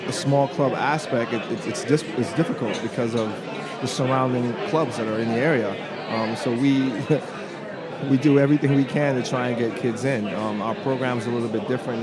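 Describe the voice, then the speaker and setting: Relaxed male guest in a crowded bar. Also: mid-range voice. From Trinidad and Tobago. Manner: relaxed, frequent ums, occasional laugh. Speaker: youth soccer club director, guest. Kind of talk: casual interview answers. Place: busy bar at a convention. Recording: loud crowd chatter behind.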